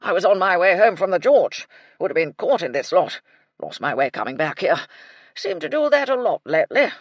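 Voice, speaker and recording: A woman's voice: lowish voice, very animated, a little fast, dry recording. A woman voicing a befuddled old retired army colonel, elderly and blustering in clipped, bumbling phrases. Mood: blustery.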